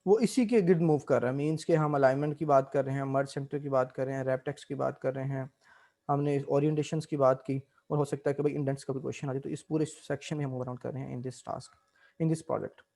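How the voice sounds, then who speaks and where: A man's voice: mid-range voice, fast talker, creaky. A man, explaining spreadsheet tasks step by step as an instructor, mixing in English terms. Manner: mixes English terms into explanations, steady teaching pace. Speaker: Excel instructor. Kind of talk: screen-recorded software tutorial.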